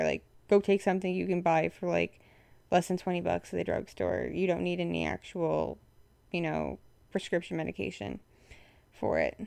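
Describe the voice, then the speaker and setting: Young woman, froggy hoarse voice, gravelly fry, smooth underneath, rambly chatty update. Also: medium pitch, a little mellow, dry recording. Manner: rambling, frank, many fillers. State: hoarse, froggy from a respiratory infection. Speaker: content creator addressing patrons. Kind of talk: casual update to supporters.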